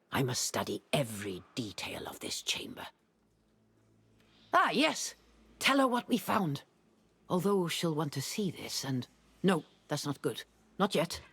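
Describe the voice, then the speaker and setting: An actress playing an intense, preoccupied woman researcher in a fantasy video game, theatrical, with a husky voice. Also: deep voice, fairly bright tone, exaggerated voice. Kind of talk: video game dialogue acting.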